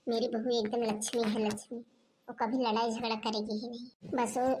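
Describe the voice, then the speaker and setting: A woman voicing a scheming, sharp-tongued older mother-in-law in a homemade family skit, talking in a scolding, chatty way. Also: on the high side, little pitch movement, normal speed, close to an everyday voice, low-quality recording. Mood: reassuring. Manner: scolding, talkative.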